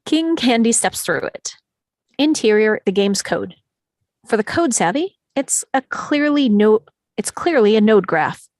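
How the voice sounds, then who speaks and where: A woman's voice: fairly high pitch, lively intonation, unhurried, dry recording. A woman reading stage directions as the narrator of a live script table read.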